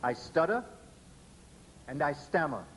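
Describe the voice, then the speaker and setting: A man giving a lecture to an audience, speaking deliberately with pauses and stressed words. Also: fairly high pitch, very animated, slight background noise. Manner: spells words out letter by letter.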